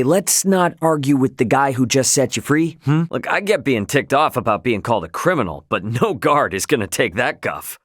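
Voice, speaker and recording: A man's voice: mid-range voice, no room sound, studio quality, close to an everyday voice. A man voicing an easygoing, friendly young trader and adventurer in a video game, with a casual, folksy delivery. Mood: wry, concerned.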